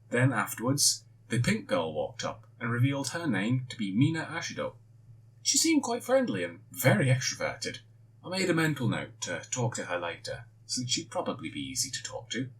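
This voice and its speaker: A man reading a first-person fan fiction story aloud, with expressive storytelling. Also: mid-range voice, slightly rough, low-quality recording.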